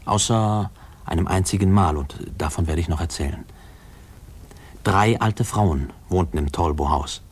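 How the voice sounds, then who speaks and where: A low-voiced grown man looking back on his boyhood. He tells it wistfully and reflectively, in measured 1950s radio drama narration. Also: breathy edge.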